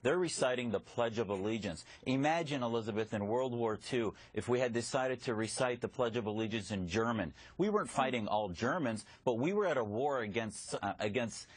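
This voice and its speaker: A man's voice: medium pitch, lively intonation, fairly quick, few pauses, slightly rough, dry recording. A man whose first language is Arabic, speaking as a guest commentator in a live TV news interview, arguing his point emphatically. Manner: emphatic, argumentative.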